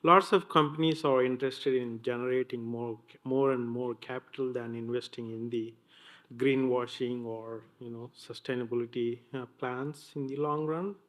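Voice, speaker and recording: A man on a business panel speaks in a flat, even tone. He is hesitant and searches for his words as he goes. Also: medium pitch, normal speed. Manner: hesitant, many fillers and restarts. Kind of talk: panel discussion.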